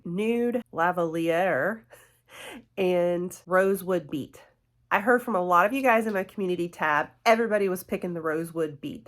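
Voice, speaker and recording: A woman in her thirties with a lilt, her nose stuffy from a cold. She chats warmly with viewers, trailing into fillers and little laughs. Her voice sits a bit lower than most women's. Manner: friendly, chatty, fillers and laughs, addresses viewers as guys and y'all. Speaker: beauty vlogger. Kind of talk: casual chatty product review.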